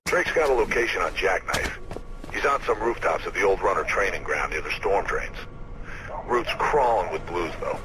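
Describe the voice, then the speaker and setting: A man's voice: low-pitched, animated, normal speed, background noise. An actor playing a clipped, directive man guiding a runner as handler in a video game. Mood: briefing. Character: gruff male handler guiding a runner over radio. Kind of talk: video game voice acting, urgent instructions. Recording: loud music under the voice.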